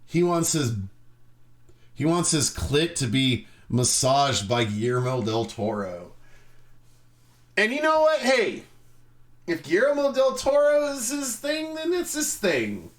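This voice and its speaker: Man in a theatrical, wildly animated delivery. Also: a little high. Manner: theatrical, emphatic, swooping delivery with pauses. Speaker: streamer. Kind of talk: dramatic reading of a film review.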